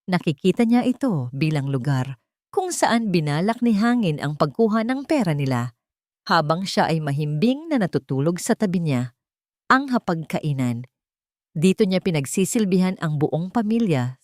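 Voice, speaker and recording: Female story narrator, low register, dry close sound, measured and solemn delivery. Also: big pitch swings, a little mellow, no room sound. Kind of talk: scripted dramatic story narration.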